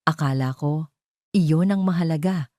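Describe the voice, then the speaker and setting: Remorseful man, low and earnest, voiced by a woman. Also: a little slow, mellow, no echo, studio quality. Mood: remorseful, pleading. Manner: earnest, confessional. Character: remorseful man pleading with his former love.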